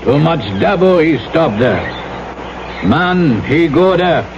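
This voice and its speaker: Wary island local for old radio play; slow broken English, clipped phrases, noisy sound effects behind. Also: male voice, a little high, lively intonation, highs cut off. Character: wary, superstitious male South Seas islander. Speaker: actor in supporting part. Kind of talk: old-time radio drama acting.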